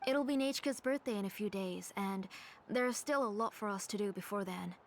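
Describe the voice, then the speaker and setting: A woman's voice: mid-range voice, moderate pace, slight background noise, close to an everyday voice. A woman voicing a composed, caring but firm mother in a video game.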